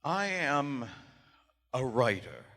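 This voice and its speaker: A man's voice: mid-range voice, big pitch swings, drawn-out words, a bit bright, full character voice. Man playing a haunted writer, reverberant hall. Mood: haunted, grave. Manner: formal, archaic, dramatic diction. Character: haunted, shaken writer of weird fiction, a man. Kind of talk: live 1920s-style radio horror theater acting. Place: large theater stage, live performance. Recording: live stage in echoing theater hall.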